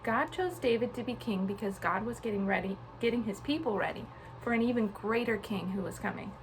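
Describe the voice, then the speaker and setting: A young adult woman reading a storybook aloud to children in an informal, animated way. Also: mid-range voice, brisk, slight background noise, rough recording. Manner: casual, child-directed, animated on dialogue.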